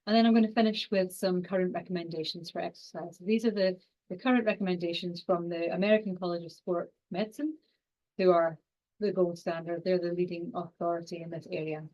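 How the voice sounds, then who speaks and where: Fast-talking Scottish woman lecturer, low voice. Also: steady tone, a little mellow, low-quality recording. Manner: fast-talking, with frequent fillers and restarts. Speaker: university lecturer and exercise scientist. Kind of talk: online lecture.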